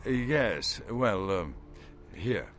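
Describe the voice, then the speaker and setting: An actor playing a nervous, polite, well-off civilian in a video game, hesitant and easily cowed. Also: male voice, fairly low pitch, very animated, very slow, fairly bright tone, a little hiss or hum, exaggerated voice. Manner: hesitant, mannered, stammering with uhs.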